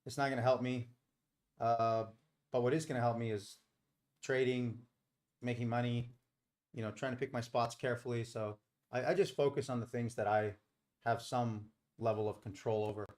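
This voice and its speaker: A man's voice: medium pitch, fairly even intonation, moderate pace, a little mellow. A middle-aged man hosting a live stream, talking casually and explaining things in a rambling, informal way. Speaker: live stream host and trader.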